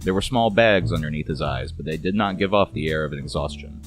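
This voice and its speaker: A man's voice: extremely low pitch, brisk, faint music behind. A man narrating a scripted noir detective story.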